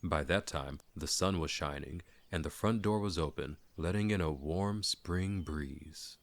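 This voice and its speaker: A man's voice: a bass voice, unhurried, gravelly. A man narrating a classic fantasy story as a dramatic audiobook reading.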